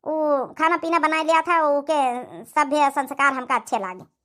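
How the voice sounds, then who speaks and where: A woman's voice: sits high, moderate pace, sharp tone. A woman voicing a polite but choosy prospective mother-in-law, matter-of-fact, in a cartoon comedy. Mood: approving.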